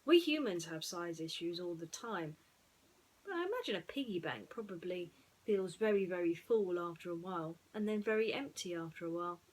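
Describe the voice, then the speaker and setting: A woman storyteller talking in a musing, unhurried way, with pauses, as in bedtime reading. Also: on the low side, poor audio.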